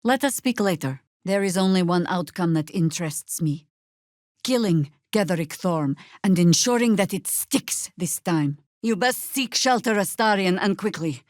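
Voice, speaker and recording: A seasoned female druid warrior in a fantasy game, weary but resolute. She speaks unhurriedly, with long weight on her words, in a lowish register. Her delivery is dry and wry, and the sound is close and studio-dry. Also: lively intonation, no room sound. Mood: wry, firm. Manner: measured, dry, formal phrasing. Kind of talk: fantasy video game voice acting.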